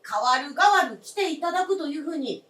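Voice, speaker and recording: A woman's voice: sits high, animated, brisk, a bit bright. A woman playing an earnest, insistent grown son in a stage radio drama, speaking politely and formally. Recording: roomy, distant stage recording.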